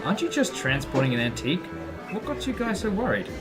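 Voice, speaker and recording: A man's voice: high-pitched, sing-song, quick pace, loud music behind the voice. A man acting a confident, teasing card-playing underworld contact in an audio drama. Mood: curious, friendly.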